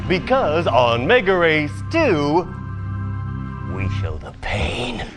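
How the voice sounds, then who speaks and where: An actor playing a smug, cynical, wisecracking host of a brutal futuristic game show in a video game. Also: male voice, a little high, huge pitch swings, slow pace, music in the background, heavily acted. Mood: smug, mocking. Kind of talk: over-the-top TV game show presenting.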